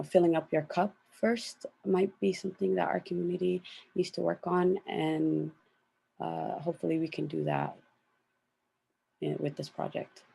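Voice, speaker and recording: Young woman with a gravelly edge, thoughtful. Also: low voice, warm tone. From United States. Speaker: panelist. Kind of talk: panel discussion.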